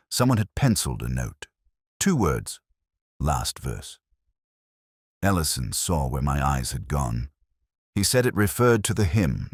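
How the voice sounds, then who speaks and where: A man playing a brooding, melancholy police detective, narrating his story in a measured, solemn, literary manner. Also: rumbling low, slightly rough, no echo, clean studio sound, exaggerated voice.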